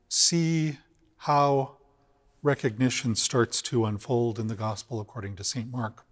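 An older priest man speaks slowly and earnestly, easing off the pace to stress key words. His middle-pitched voice carries a long church reverb from the big empty nave around him. The camera picks him up from mid distance. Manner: measured, earnest, slows for emphasis. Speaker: cathedral rector. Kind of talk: weekly video reflection on scripture. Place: inside a large empty church.

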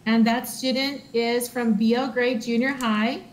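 A woman's voice: fairly high pitch, steady tone, poor audio. Woman librarian announcing student awards. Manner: reads names haltingly with fillers. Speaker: school librarian. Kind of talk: presenting awards at a board meeting.

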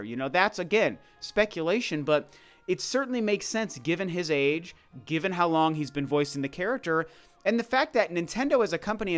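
A man with a slightly high voice delivers casual opinion commentary in a voice-over. He talks conversationally but emphatically, circling back over his points to drive them home. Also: a bit bright. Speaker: video commentator. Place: voice-over over gameplay footage.

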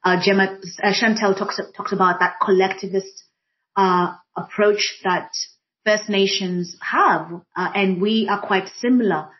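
A woman from Kenya, a diversity and inclusion practitioner speaking thoughtfully on a panel, pausing often with fillers. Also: mid-range voice, steady tone. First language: Swahili. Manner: thoughtful, many fillers and restarts. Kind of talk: reflective panel conversation. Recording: dull lo-fi audio.

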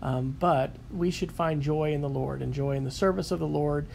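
A man reading a scripture passage and then calmly summarizing a sermon he heard, with frequent fillers. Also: fairly high pitch, moderate pace, creaky, slight background noise. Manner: calm, reflective, with frequent fillers. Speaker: sketchnote narrator.

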